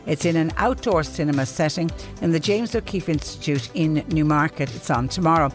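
A woman's voice: low voice, fairly quick, faint music behind. A woman with a Cork Irish accent presenting local radio, reading out notices and listener messages. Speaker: radio host.

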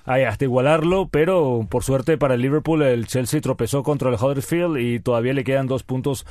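Male commentator, stat-heavy, halting delivery, dry mic. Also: medium pitch, a little mellow, no echo. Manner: fact-heavy, hesitant fillers. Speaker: football commentator. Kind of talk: sports radio talk.

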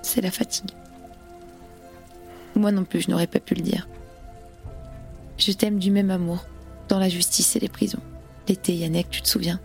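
Actress for melancholy, ardent female revolutionary in a radio play; lowish voice, lyrical intensity, music underneath. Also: fairly even intonation, normal speed, gravelly, pauses often. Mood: wistful, resigned. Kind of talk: audio drama acting of a stage play.

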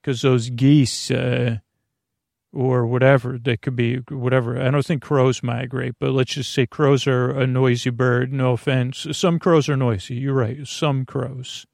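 A man with a creaky voice hosting a bedtime podcast, soothing and whimsical, meandering and halting as he interrupts himself. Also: mid-range voice, flat delivery, a little mellow, dry recording, clean studio sound. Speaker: podcast host. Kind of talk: rambling bedtime monologue.

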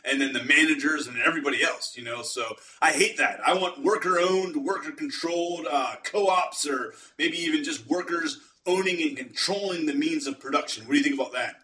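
A man's voice: a little high, a little fast, few pauses, pushed and bright. A man from the Pittsburgh area hosting a podcast, chatting casually and agreeably with frequent ums and restarts. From Pittsburgh area, United States. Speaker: podcast host. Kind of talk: casual interview chat. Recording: roomy, distant mic.

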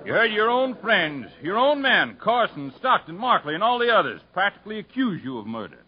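A firm, accusing prosecutor with a husky, slightly high voice. It is fifties radio acting on a dull old recording. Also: male voice, sing-song, a little fast, heavily acted. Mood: firm, pressing. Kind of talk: 1950s radio drama acting. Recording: dull 1950s radio broadcast, faint crowd.

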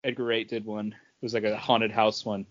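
A man's voice: on the low side, flat delivery, moderate pace, muffled recording. A man co-hosting a podcast, talking in a casual conversational way. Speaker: podcast co-host. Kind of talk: casual chat.

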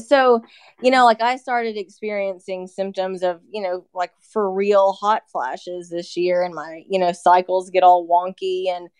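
A woman's voice: mid-range voice, fairly even intonation, unhurried, clean tone, dry recording. A woman in her thirties, a nurse practitioner guest, explaining in casual chat with lots of "you know" fillers. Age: 30s. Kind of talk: casual podcast interview.

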